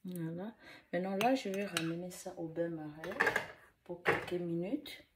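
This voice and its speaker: Woman vlogger with a cold, low voice, chatty rambling how-to. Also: normal speed, heavy background noise. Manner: rambling, repeats herself, many fillers. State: has a cold and cough. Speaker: hair care vlogger. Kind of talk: casual how-to demonstration.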